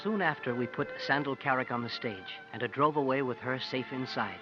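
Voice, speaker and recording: A man's voice: medium pitch, a little fast, loud music behind the voice, close to an everyday voice. Man playing earnest, eager boy, old radio. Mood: fond recounting. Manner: polite, simple, admiring. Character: earnest, eager boy, loyal sidekick to a showman. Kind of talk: 1950s radio comedy-drama acting. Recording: dull old radio broadcast.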